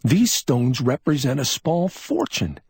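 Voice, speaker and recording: A man voicing a brusque, excitable male police officer who snaps out urgent reasoning. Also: mid-range voice, unhurried, gravelly, husky, no room sound, studio quality, pitched above the performer's own voice, heavily acted. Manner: snapping, urgent, scolding.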